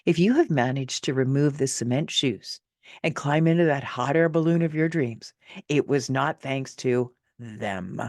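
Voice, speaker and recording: A woman's voice: a bass voice, measured pace, darkish tone, no room sound, clean studio sound. A middle-aged woman reading her own personal essay in an emphatic, theatrical, motivational way. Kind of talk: expressive scripted narration of a personal essay.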